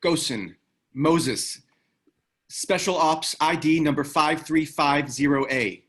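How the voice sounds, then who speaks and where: Man as a guarded, gruff military operative. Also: on the high side, slow pace, fairly bright tone. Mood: terse, commanding. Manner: terse, guarded. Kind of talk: screenplay table read.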